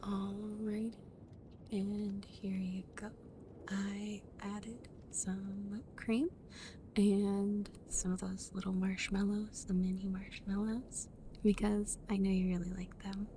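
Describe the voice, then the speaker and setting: Woman acting as young female best friend, ASMR roleplay, soft and whispery, relaxed dark tone, unhurried, affectionate, playfully teasing, faint background noise. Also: mid-range voice, lightly acted. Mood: cozy, caring. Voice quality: soft, breathy, often whispered. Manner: gentle, intimate, casual, teasing.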